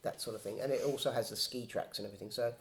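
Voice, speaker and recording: A man's voice: medium pitch, fairly even intonation, rapid-fire. A man from England, patiently explaining map and compass basics step by step as a hiking guide. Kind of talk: casual instructional explanation.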